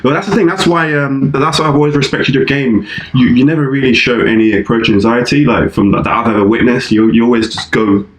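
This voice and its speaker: A man hosting a podcast, chatting in a relaxed, easygoing way. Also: mid-range voice, brisk, hardly any pauses. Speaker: podcast host. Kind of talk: casual chat. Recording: echoey room, faint noise.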